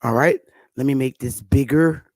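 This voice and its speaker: A woman's voice: rumbling low, moderate pace, a little mellow, no room sound. A woman hosting an online talk show, giving an emphatic, preachy casual lecture and drawing out her stressed words. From northern United States. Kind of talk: casual teaching monologue.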